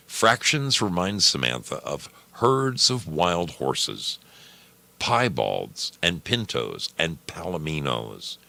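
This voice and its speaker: A man narrating a scripted story dramatically, with deliberate, suspenseful phrasing. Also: deep voice, creaky, bright, forceful tone, no room sound.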